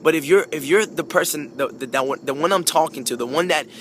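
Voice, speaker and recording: A man's voice: a little high, a little fast, fairly bright tone, a little hiss or hum. A man from Peru, a native Spanish speaker, giving an earnest motivational talk to camera, repeating phrases and addressing listeners directly. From Peru, moved abroad at age five.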